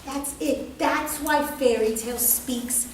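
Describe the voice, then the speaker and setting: A woman's voice: high-pitched, slow pace. A woman leading an interactive psychology lecture, emphatic and probing, repeating phrases and speaking informally and bluntly. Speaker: lecturer and group leader. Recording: echoey room, distant mic, faint noise.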